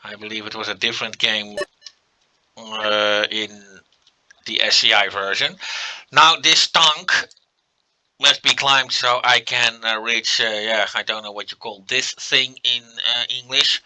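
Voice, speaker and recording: A man with a Dutch accent, a native Dutch speaker, giving relaxed gameplay commentary and thinking aloud with many fillers. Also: fairly low pitch, a little slow, poor audio. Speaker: let's play commentator.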